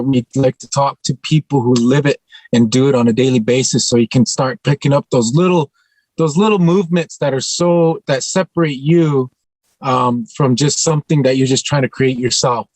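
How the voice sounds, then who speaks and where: A man speaking earnestly on a panel as an actor, thoughtful and halting, often repeating a phrase as he goes. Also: mid-range voice, steady tone, darkish tone, no echo, rough recording.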